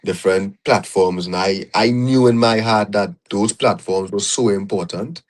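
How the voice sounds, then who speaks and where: A young man with a Trinidadian accent and a fairly low voice, talking through his journey as a guest on a podcast. He speaks in a reflective, storytelling way, peppering his thoughts with "you know". The conversation is casual and unhurried.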